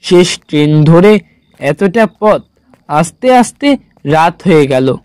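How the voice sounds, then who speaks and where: A man narrating a scripted horror story in a measured, dramatic storytelling manner. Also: a little high, big pitch swings, darkish tone, clean tone, no room sound.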